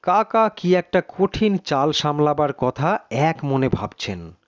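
Slow-paced man telling a story. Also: medium pitch, dry recording, dull audio. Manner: measured, literary storytelling. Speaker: audio story narrator. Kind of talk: scripted dramatic narration of a suspense story.

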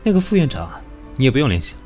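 A man's voice: medium pitch, wildly swooping pitch, racing, darkish tone, soft background music. An actor playing a composed, sardonic, stern professional man in an audio drama, dry and commanding. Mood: cool, challenging. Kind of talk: Chinese audio drama acting.

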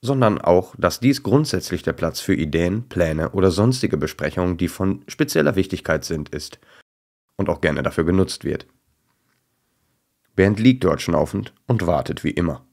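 A man's voice: low voice, lively intonation, normal speed, vocal fry, a little mellow. A man narrating a children's story as storyteller.

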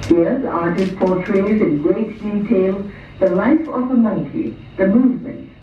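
A man's voice: high voice, expressive, measured pace. A man making formal, scripted announcements as the presenter of a stage puppet show. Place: theatre hall, recorded from the audience. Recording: echoey hall PA, distant, tinny, noisy.

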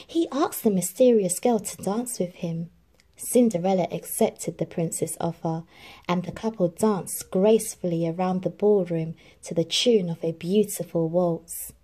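A woman reading a children's storybook aloud in an expressive, animated narrating style. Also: lowish voice, a little slow. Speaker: storybook reader.

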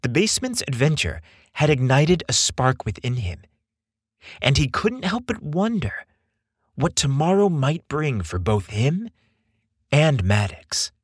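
Male narrator, slow measured storytelling, animated pitch, theatrical phrasing, dry close sound. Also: husky, dry recording. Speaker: podcast storyteller. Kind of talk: scripted narration, dramatic storytelling.

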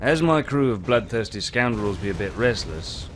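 A swaggering, flippant pirate rogue in a video game, in a low voice with lively, swinging intonation. The talk is wordy, offhand and sly, with faint noise behind it. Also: male voice, normal speed. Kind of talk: video game cutscene acting.

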